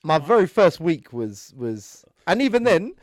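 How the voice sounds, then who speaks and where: A man's voice: a little high, big pitch swings, unhurried, a crowd in the background. Defensive stammering male co-host. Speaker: podcast co-host. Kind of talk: casual film review chat.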